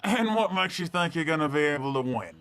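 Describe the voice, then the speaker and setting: A man's voice: a little high, lively intonation, brisk, fairly bright tone. A man voicing a cold, menacing non-human bounty hunter with a put-on Australian accent.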